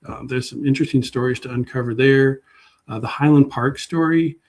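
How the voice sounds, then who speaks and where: Male guest expert, medium pitch, informal, thinking aloud with halting rephrasing. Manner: hesitant, searching for words, rephrasing. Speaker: public historian, guest speaker. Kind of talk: informal talk and question answering.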